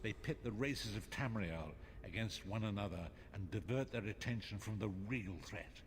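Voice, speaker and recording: A male actor plays an old, blind prophet guiding a hero in a fantasy game. His voice sits fairly low. He speaks with solemn, kindly formality over faint ambient noise. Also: moderate pace, lightly acted. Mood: solemn, urgent. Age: older. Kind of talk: fantasy video game dialogue.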